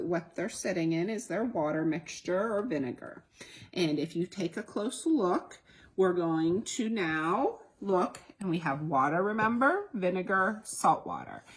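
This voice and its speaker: A woman's voice: on the low side, slightly rough. A woman hosting a children's storytime, explaining a science activity simply and putting questions to young children. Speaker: library storytime host for children.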